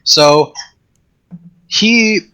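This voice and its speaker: A man chatting as a guest on a tech podcast, rambling with restarts, his voice getting raspy. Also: high-pitched, drawn-out words, bright, forceful tone. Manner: rambling, many restarts and fillers. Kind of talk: casual tech chat.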